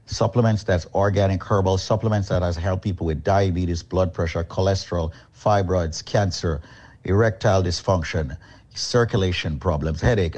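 A man hosting a radio show and pitching a product, brisk, emphatic and repetitive. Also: darkish tone. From Jamaica. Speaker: radio host and company chairman.

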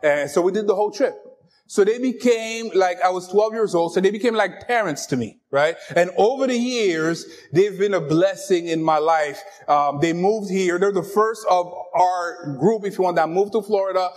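Pastor, man, French first language, high voice, joking and lively with the congregation. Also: normal speed. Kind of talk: sermon.

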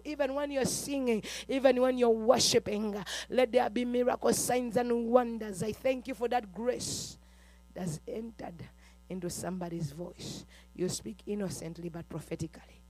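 A man's voice: extremely high pitch, darkish tone, dry recording. A man leading a fervent chanted prayer with rhythmic, repetitive declarations full of "uh", breaking into tongues between them. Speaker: preacher.

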